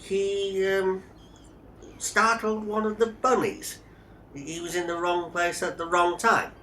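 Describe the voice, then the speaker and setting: A man's voice: high voice, level tone, slow pace, sharp tone, slight background noise, pitched above the performer's own voice, exaggerated voice. A man voicing a timid, naive young zoo employee in a comic audio drama, hesitant, earnest and deferential. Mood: nervous, puzzled.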